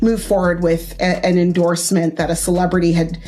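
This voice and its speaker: Lower-pitched female expert commentator. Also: little pitch movement, a little fast, dark tone. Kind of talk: explanatory news interview.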